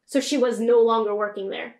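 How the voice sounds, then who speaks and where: A young woman from Quebec telling animated stories on a casual podcast, acting out what others said. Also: on the high side, a little fast, roomy sound. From Quebec, Canada. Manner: animated, acts out quotes of others. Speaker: podcast co-host. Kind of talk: casual chat, storytelling. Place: small room at home, indoors.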